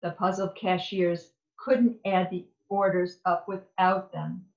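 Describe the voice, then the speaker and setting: A woman reading a children's picture book aloud in an expressive, playful and deliberate way. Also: fairly low pitch. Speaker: storybook reader. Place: indoor room at home. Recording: echoey room, dull lo-fi sound.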